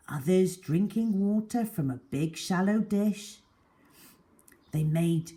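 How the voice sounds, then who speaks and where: A woman storyteller reading a children's story aloud in an expressive, deliberate and emphatic way. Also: medium pitch.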